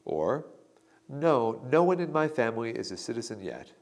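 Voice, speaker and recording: A man slowly reading model answers for a practice interview, pronouncing each one deliberately. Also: mid-range voice, sing-song. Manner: slow, deliberate, model answers read with emphasis. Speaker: instructor giving sample answers. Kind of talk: scripted instructional narration.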